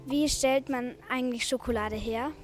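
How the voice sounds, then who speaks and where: A woman hosting a blind taste test, announcing the answers briskly and interviewing guests. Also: fairly high pitch, fairly even intonation, soft background music. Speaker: interviewer and quiz host.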